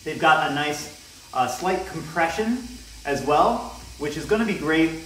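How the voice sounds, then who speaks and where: A young man giving a relaxed, casual product review with frequent ums and restarts, recorded on a camera mic in a bare room. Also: on the high side, measured pace. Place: bare indoor room with wooden floor. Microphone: camera mic, near then from a distance. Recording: echoey room, faint hiss.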